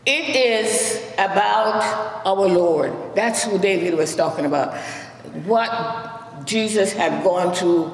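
A middle-aged woman minister with a Jamaican accent, preaching a sermon with frequent amens and shouted praise. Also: medium pitch, takes it slowly, a little hiss or hum. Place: large church sanctuary. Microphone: handheld mic. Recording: echoey church hall.

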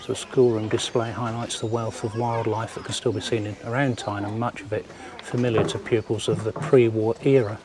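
A man's voice: on the low side, level tone, a little fast, hardly any pauses, a crowd in the background. An older man giving casual walking commentary and reading aloud on a handheld camera. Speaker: vlogger visiting a historic site. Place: outdoors in village ruins and inside an old schoolroom. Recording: handheld outdoor recording with clear background noise.

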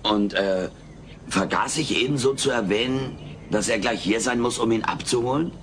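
An actor playing a brash, scheming furry alien houseguest in a sitcom audio drama, glib and theatrical. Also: male voice, mid-range voice, normal speed, natural voice. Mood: mock-innocent. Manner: glib, theatrical, mock-innocent. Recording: noisy old cassette audio drama.

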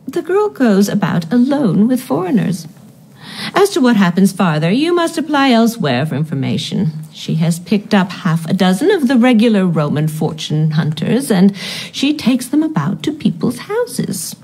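Husky, haughty society aunt. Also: female voice, mid-range voice, very animated, normal speed, darkish tone, low-quality recording. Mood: disdainful. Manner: imperious, withering, precise. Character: haughty, disapproving upper-class older aunt. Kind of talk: radio drama acting.

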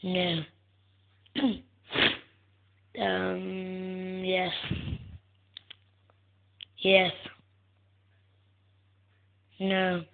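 A soft-spoken young woman hosting a casual gaming video, answering a guessing game in short words. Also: lowish voice, completely flat delivery, drawn-out words, vocal fry, pauses often, a little hiss or hum. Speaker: gaming channel host. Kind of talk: casual gameplay commentary. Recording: tinny lo-fi phone-like recording.

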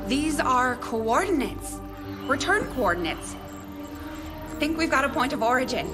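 A practical engineer talks in a brisk, dry way with a fairly high voice. Loud game music plays behind her. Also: animated. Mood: focused. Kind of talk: video game cutscene acting.

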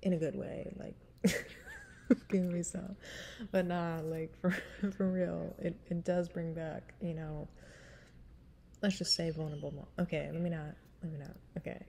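A woman's voice: flat delivery, measured pace, mellow, slight background noise. Smoky-voiced woman rambling casually. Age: 30s. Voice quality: smoky, husky low voice. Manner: rambling, laughing, frank casual chat. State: stuffy nose. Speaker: singer vlogger. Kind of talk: casual chat before a cover song. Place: small room indoors.

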